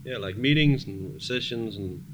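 Man, low voice, Danish accent, music producer, casual chat, background noise. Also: unhurried. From Denmark. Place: cramped studio. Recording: noisy studio background.

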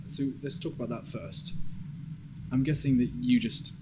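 A man's voice: mid-range voice, moderate pace. A man working as an interviewer, putting questions in a conversational way. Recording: clearly noisy background ambience.

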